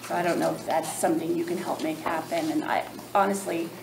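An older woman speaking haltingly and informally as she makes a request at a public hearing. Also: low voice, fairly even intonation. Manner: halting, hesitant. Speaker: organization representative making a budget request. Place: indoor public meeting room. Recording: roomy echo, clear background room noise.

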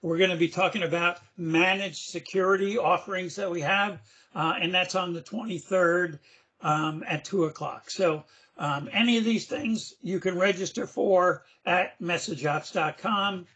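Male webinar presenter on a video call, slightly hoarse, loose and chatty with fillers, high bright voice. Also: measured pace. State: losing his voice, hoarse. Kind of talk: casual screen-share demo.

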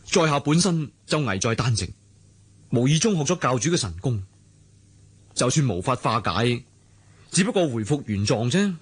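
A man's voice: mid-range voice, big pitch swings, moderate pace, long pauses, husky. An actor playing a proud, free-spirited young swordsman in a radio drama, earnest and dramatic, with a Hong Kong Cantonese accent. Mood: defiant, resolute. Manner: earnest, dramatic, musing aloud.

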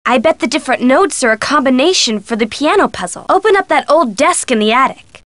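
A woman voicing a bubbly, supportive young friend of a teen sleuth in a video game, chirpy and excitable. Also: sits high, brisk, no room sound. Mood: helpful. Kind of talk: video game character dialogue.

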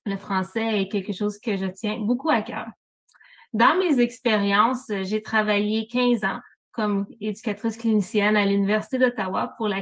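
A woman in her forties with a Franco-Ontarian French accent gives an organized presentation to a committee over a video call. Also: mid-range voice. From Northern Ontario, Canada. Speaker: student services manager.

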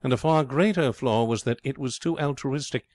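A man's voice: mid-range voice, moderate pace, darkish tone, no echo, voice pushed up, lightly acted. A man voicing a breezy, self-satisfied young schemer in a comic story, florid and cajoling with cheerful pomposity. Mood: grandly enthusiastic. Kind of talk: storybook character voice in comic fiction reading.

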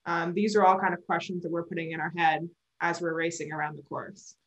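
A woman's voice: on the low side, fairly even intonation, fairly quick. A young woman from Barbados, a sailor, chatting as a guest in a remote video interview, explaining with gestures and laughing often. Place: indoor room at home. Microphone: video call. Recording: roomy video call audio.

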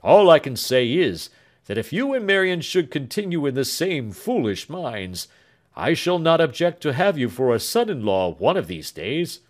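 A man's voice: on the high side, very animated, normal speed, voice pushed up. A man voicing a jovial older doctor who talks in a hearty, blustery, chuckling way.

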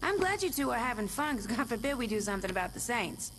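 A woman's voice: a little high, big pitch swings, quick pace. An actress playing an impatient, scornful female gangster in a video game, curt and sarcastic. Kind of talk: video game cutscene acting.

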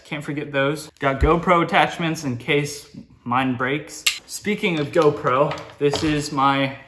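A man's voice: on the high side, noisy background. Chatty teen guy in an echoey room. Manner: relaxed, chatty, filler-heavy. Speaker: fishing channel host. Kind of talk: casual show-and-tell vlog. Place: small indoor gear room.

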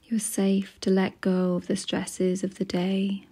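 A woman guides a meditation in a hushed, breathy voice. Her delivery is slow and soothing, with long pauses between phrases. The pitch stays in a middle range with very little movement, giving an even, gentle flow. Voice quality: soft, breathy, often near a whisper.

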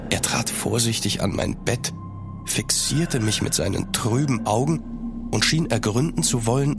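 A man playing an uneasy gentleman traveller, narrating a horror audio drama in a measured, suspenseful way in German. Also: on the low side.

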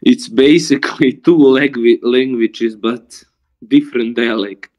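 Ein junger Mann, der gemütlich und zögernd in einem Videoanruf erzählt. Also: male voice, mid-range voice, steady tone, measured pace, darkish tone. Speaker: video chat stranger. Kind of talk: casual chat.

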